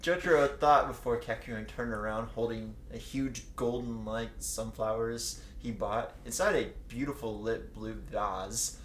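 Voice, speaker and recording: A man's voice: medium pitch, fairly even intonation, unhurried, few pauses. A young man reading a story aloud for comedy and chatting between lines, teasing and playfully theatrical. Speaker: co-host reading fan fiction aloud. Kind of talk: jokey read-aloud with banter. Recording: roomy echo, background noise.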